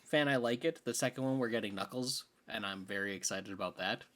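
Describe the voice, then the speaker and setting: A man's voice: on the low side, brisk, a bit bright. A man co-hosting a podcast, giving his opinions on films in casual conversation. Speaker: podcast co-host. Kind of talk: casual film podcast chat.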